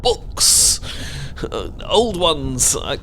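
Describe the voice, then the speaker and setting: A man's voice: a little high, big pitch swings, very slow, pushed and bright, a little hiss or hum, exaggerated voice. A British man playing a nervous, bookish man in an audio romance roleplay, stammering and rambling in a flustered way.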